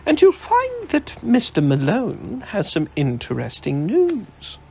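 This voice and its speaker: A man reading aloud, voicing a composed woman scientist who speaks with calm authority. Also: high voice, slow, gravelly, a little hiss or hum, pitched above the performer's own voice, exaggerated voice. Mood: gracious. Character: poised, sharp-minded woman scientist.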